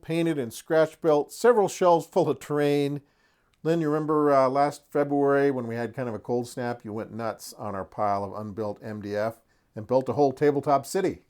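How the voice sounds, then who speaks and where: A man's voice: medium pitch, a little mellow. A middle-aged man hosting a hobby channel in a casual, folksy way with wry asides. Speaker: host of a tabletop wargaming channel. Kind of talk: casual product showcase to camera.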